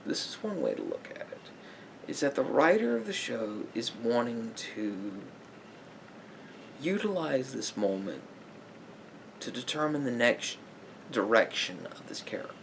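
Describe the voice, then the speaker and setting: A man speaking softly as a therapist in an ASMR roleplay, in a measured, halting, explanatory way. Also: mid-range voice, huge pitch swings, gravelly, slight background noise, low-quality recording.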